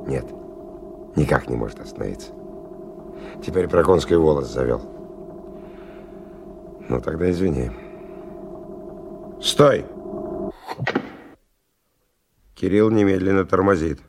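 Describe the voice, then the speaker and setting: A man's voice: extremely low pitch, lively intonation, measured pace, creaky, long pauses, background noise, exaggerated voice. An actor playing a tough, cynical stalker who tells his story in a colloquial, sardonic radio-drama monologue with dramatic swings. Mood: curt, harsh. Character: tough, cynical male stalker narrating his dangerous expedition. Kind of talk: 1990 radio drama first-person monologue. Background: music.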